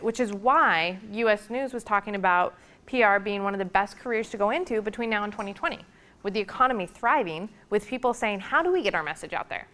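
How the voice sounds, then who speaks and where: A woman's voice: mid-range voice, fairly bright tone. Earnest woman lecturing on careers. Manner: earnest, encouraging, builds lists of questions. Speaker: public relations lecturer. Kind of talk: classroom lecture.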